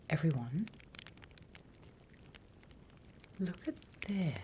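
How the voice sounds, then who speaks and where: A British woman voicing a prim demon teacher in a soft, deliberate whispered ASMR roleplay. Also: lowish voice, a little hiss or hum, full character voice. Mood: encouraging. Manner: soft, deliberate, instructional, with pauses. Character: female demon teacher, softly menacing, encouraging, prim.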